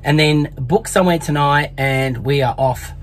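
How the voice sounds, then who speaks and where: A man's voice: on the high side, normal speed, a little hiss or hum. A man in his thirties with an Australian accent, chatting casually as he films himself in a camper van with a phone held at arm's length. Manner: chatty, upbeat, with soft asides to his dog. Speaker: vlogger. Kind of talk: casual vlog narration. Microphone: handheld phone at arm's length.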